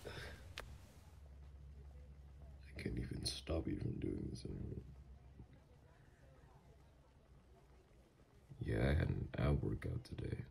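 A man playing a doting, easily flustered mafia boss lover, gruff yet flirtatious, in an intimate ASMR roleplay. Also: very deep voice, a little mellow, slight background noise, heavily acted. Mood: low, teasing.

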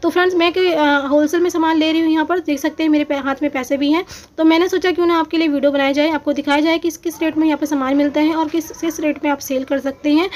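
High-voiced woman vlogging from an outdoor market. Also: fairly even intonation, fast, hardly any pauses. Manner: chatty, hesitant with many fillers, addresses viewers as friends. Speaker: shopping vlogger. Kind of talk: walking market tour with price talk. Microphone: handheld phone, camera pointed at goods.

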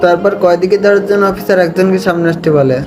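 A man's voice: high voice, little pitch movement, quick pace. A man giving a slangy, mocking, exaggerated comic narration of cartoons, as a scripted voice-over. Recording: voice-over with loud music behind. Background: music.

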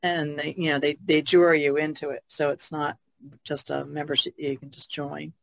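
A woman chats casually as a guest on a podcast. There is a noticeable fry in her voice, and the recording is dry, without room sound. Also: low-pitched, little pitch movement, moderate pace, dry recording. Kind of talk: casual chat.

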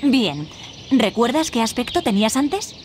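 An actress playing a kindly, encouraging fairy guide who gives patient instruction in a video game. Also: female voice, fairly high pitch, sing-song, measured pace, a little hiss or hum. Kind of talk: scripted game tutorial dialogue.

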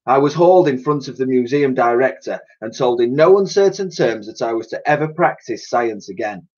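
A man playing a pompous old scientist with a husky voice. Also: mid-range voice, expressive, normal speed, a little mellow, highs cut off, pitched above the performer's own voice, lightly acted. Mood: mournful. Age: older. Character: pompous, self-pitying old male scientist.

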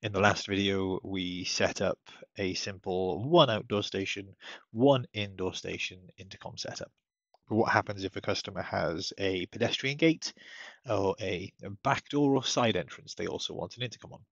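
A man with a low, husky voice talks through software steps casually, as a technical support presenter. The sound is dull and slightly lo-fi, recorded at a computer. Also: normal speed, no room sound. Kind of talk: casual step-by-step software tutorial narration. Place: indoors at a computer, screen-recording voice-over. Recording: dull lo-fi close dry microphone.

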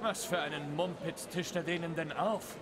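An actor playing a brash, blunt warrior in a fantasy game, speaking informally. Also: male voice, a little high, moderate pace, over a noisy crowd, lightly acted. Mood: impatient, playful. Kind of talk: dramatic game voice acting. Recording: loud music behind the voice.

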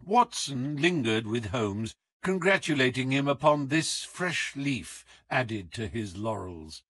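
Expressive, measured male narrator. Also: mid-range voice, dry recording. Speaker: audiobook narrator. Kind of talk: scripted literary narration.

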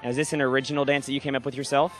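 A man conducting quick backstage interviews with performers at a convention, asking brisk questions and padding with fillers. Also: mid-range voice, flat delivery, faint music behind.

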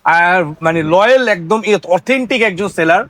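A Bangladeshi-accented man giving an informal, talkative shopkeeper's sales pitch, repeating himself and dropping in English words. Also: measured pace, fairly bright tone, dry recording. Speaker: shopkeeper selling telephones. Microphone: close microphone.

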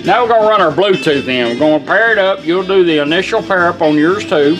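A man's voice: high-pitched, fast talker, poor audio. A plain-spoken man casually walking through a hands-on product demonstration step by step. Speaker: radio restorer demonstrating products. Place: indoor workshop. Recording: music from demo radio behind voice.

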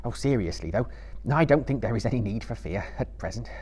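Man doing a gentle, husky hobbit gentleman. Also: medium pitch, animated. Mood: patient. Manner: polite, patient, measured. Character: kind, weary, well-spoken gentle hobbit master.

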